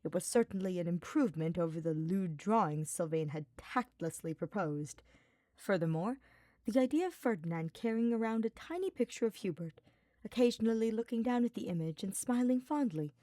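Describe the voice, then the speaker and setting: A woman reading scripted fiction as an audiobook narrator, in an expressive, deliberate storytelling style. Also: mid-range voice, dry recording.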